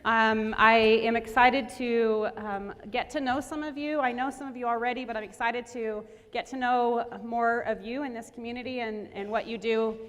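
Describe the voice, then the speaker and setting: A woman's voice: fairly high pitch, steady tone, moderate pace, few pauses, a bit bright. A woman in her forties giving an upbeat motivational talk at a luncheon, often restarting her phrases. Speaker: guest speaker. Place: banquet hall. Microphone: lapel mic. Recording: echoey hall.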